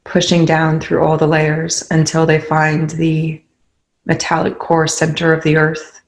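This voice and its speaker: A meditation leader guiding listeners in a soothing, unhurried way, with pauses between short instructions. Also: mid-range voice, monotone, rough recording. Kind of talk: guided meditation.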